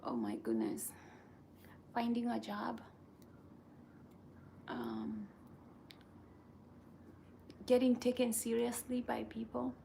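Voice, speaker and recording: A woman with a soft voice, chatting casually in a rambling way that circles back over phrases. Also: on the high side, expressive, takes it slowly, creaky, pauses often, slight background noise. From Kenya. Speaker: vlogger chatting with viewers. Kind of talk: casual chat.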